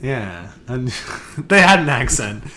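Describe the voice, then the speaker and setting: A man's voice: medium pitch, sing-song, slow pace, crowd noise. A young man co-hosting a casual podcast, telling a long story with many restarts and asides. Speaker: podcast co-host.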